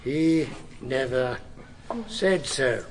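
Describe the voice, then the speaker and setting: An actor playing a smug, ponderous judge who speaks deliberately in a courtroom radio drama. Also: male voice, medium pitch, slight background noise. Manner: ponderous, repeats answers deliberately. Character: smug, ponderous judge fond of his own jokes.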